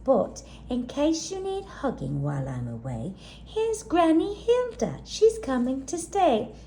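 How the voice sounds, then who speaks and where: A woman voicing a tender mother yak in a children's storybook, gentle, affectionate and reassuring. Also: high voice, huge pitch swings, unhurried, a little hiss or hum, voice pushed up, exaggerated voice.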